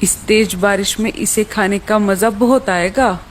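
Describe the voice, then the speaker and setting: A woman's voice: medium pitch, a little slow, a little hiss or hum, close to an everyday voice. A woman voicing a menacing, gluttonous male eagle with threatening, taunting delivery in a children's cartoon story. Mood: gloating.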